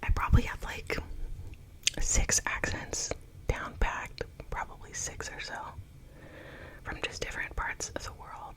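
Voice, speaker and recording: A woman's voice: measured pace, long pauses, a bit bright, poor audio. A young woman whispering through a makeup tutorial, rambling with plenty of fillers and chatting to her viewers. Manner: chatty, self-conscious, asks viewers for comments. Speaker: beauty vlogger.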